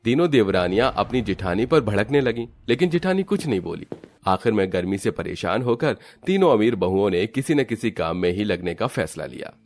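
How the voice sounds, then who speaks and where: Low-pitched male story narrator. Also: expressive, brisk, darkish tone. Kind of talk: scripted storybook narration for a Hindi cartoon.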